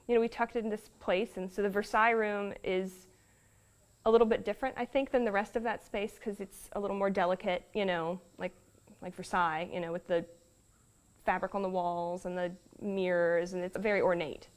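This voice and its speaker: Casual young American woman, medium pitch. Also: fairly even intonation, a little fast, clear, resonant tone. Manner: informal, peppered with "like" and "you know". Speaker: family business member being interviewed. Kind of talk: casual interview chat.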